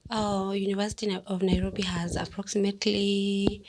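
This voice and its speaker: A young woman from South Sudan, a student representative, answering interview questions in a measured way with some fillers. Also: mid-range voice, level tone, darkish tone.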